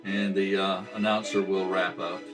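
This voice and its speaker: A man's voice: sits low, little pitch movement, moderate pace, over music. A man informally explaining the running order to a cast as an amateur director. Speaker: amateur director reading a rehearsal track.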